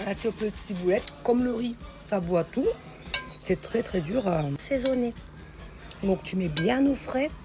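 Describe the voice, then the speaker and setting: A hoarse woman chatting familiarly as she cooks, calling the viewer pet names. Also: medium pitch, animated, normal speed. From northern France. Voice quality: gravelly. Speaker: home cook. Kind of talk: casual cooking vlog chat. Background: background music.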